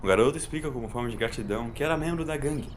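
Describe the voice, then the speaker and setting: A man's voice: medium pitch, rapid-fire, slight background noise. A man with a Brazilian accent narrating a scripted audio story. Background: music.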